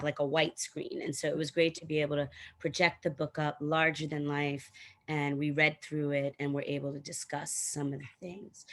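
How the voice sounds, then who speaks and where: A woman talking casually as a preschool teacher on a video call, with plenty of "you know" and "like.". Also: low voice. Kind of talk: casual conversational anecdote.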